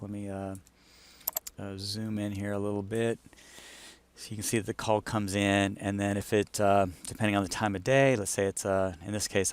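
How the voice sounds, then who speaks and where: A man talking casually through an on-screen demonstration, informal and thinking aloud as he goes. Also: low voice. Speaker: client explaining a design project. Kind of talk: casual screen-share walkthrough.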